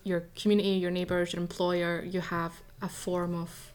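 A woman co-hosting a podcast, asking interview questions in a measured, thoughtful way. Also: fairly low pitch, flat delivery. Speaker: podcast co-host.

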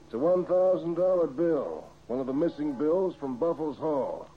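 Older male inspector acted by a man, American, fatherly and concerned, lively intonation, hissy vintage radio drama. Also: fairly high pitch, normal speed, lightly acted. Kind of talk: old-time radio mystery acting. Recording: muffled, hissy old radio recording.

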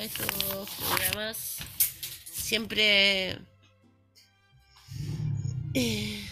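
A woman's voice: fairly high pitch, gravelly. A woman hosting a casual radio chat, joking with her guests. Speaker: radio host.

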